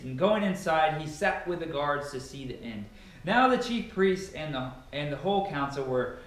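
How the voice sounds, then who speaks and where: A man narrating scripture as a dramatic reading. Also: fairly high pitch. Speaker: Bible reader, narrator. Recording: heavily echoing room.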